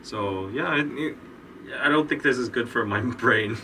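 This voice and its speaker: A man hosting a podcast in casual, relaxed chat, teasing his guest and laughing easily. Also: medium pitch, moderate pace. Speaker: podcast host. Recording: distant mic, faint background noise.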